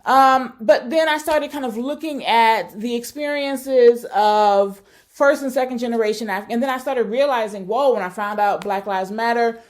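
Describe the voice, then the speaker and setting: A woman with a fairly high voice speaks in a reflective, searching way. She often pauses with fillers and corrects herself mid-sentence as she works through her ideas in an academic talk. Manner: thoughtful, searching, many fillers and self-corrections.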